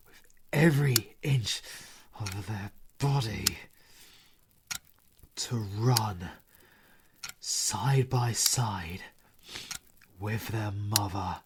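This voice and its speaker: Male actor for British werewolf villain, audio drama: grief-stricken, powerful, anguished half-whispers, drawn-out delivery, noisy recording. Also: lowish voice. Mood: wistful, intense. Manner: slow, theatrical, anguished, often breathy and half-whispered. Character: grieving male werewolf villain, bitter and powerful, mourning his mother. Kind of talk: scripted audio roleplay monologue.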